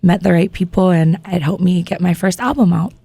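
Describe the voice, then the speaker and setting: A woman's voice: lowish voice, brisk, creaky, dark tone, breathy edge, dry recording. A woman in her thirties from Maui, Hawaii, a singer-songwriter guest chatting in a laid-back, playful way with frequent giggles. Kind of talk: casual interview.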